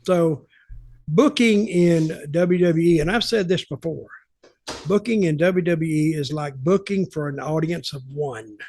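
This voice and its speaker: A man's voice: on the high side, a little slow, darkish tone, a little hiss or hum. An older man telling stories casually on a podcast, blunt and opinionated, repeating points for emphasis. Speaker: podcast host, veteran wrestling booker.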